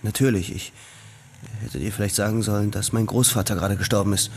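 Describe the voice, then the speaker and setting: A man's voice: on the low side, fairly even intonation, brisk, slight background noise, close to an everyday voice. A man playing an anxious, calculating urban character in a radio drama, hesitant and brooding, sometimes blurting things out. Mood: unsure. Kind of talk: radio drama acting, dialogue and inner monologue.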